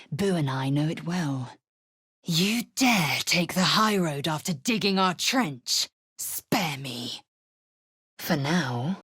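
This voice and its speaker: A woman playing a proud, stern githyanki warrior woman in a video game, with a husky rasp and a clipped, imperious, formal delivery. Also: deep voice, no room sound, clean studio sound, heavily acted. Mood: scornful. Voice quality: husky, rasping. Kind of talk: dramatic game dialogue and narration.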